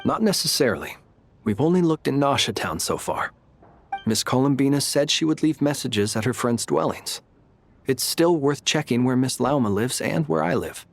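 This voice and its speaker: Game role: reserved young man, medium pitch, creaky edge, composed and matter-of-fact, music behind. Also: lively intonation, normal speed. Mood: calm, measured. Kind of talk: video game dramatic voice acting.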